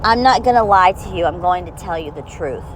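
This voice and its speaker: A woman with a high-pitched voice gives a blunt, profane monologue straight to the listener, slowing down to stress key words. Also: animated, a little hiss or hum, rough recording. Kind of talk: direct-to-camera monologue.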